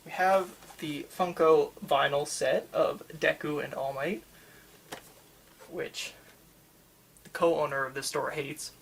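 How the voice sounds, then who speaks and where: ASMR store worker, mid-pitched and creaky, halting casual chat, enthusiastic fan, slight ambient noise. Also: fairly even intonation. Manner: rambling, halting, enthusiastic about anime. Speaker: manga store worker in a roleplay. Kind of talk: ASMR roleplay, casual customer-service chat.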